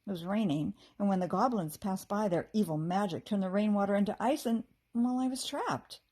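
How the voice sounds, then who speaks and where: A woman's voice: medium pitch, fairly quick, a little mellow, husky, close to an everyday voice. A woman voicing a tiny, sweet, earnest fairy girl in a children's story. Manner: gasping, exclaiming.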